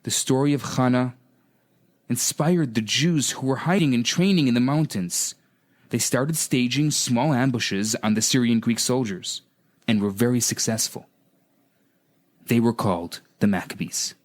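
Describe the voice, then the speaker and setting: A man's voice: mid-range voice, a little slow. A man, a rabbi appearing as a radio guest, telling a story in a scripted storytelling style.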